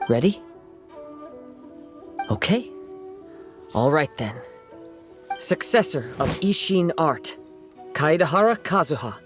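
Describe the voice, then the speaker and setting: A man's voice: fairly high pitch, very animated, slow, long pauses, over music. A man playing a serene, courteous young wandering samurai in a video game. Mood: formal, composed. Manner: courteous, composed.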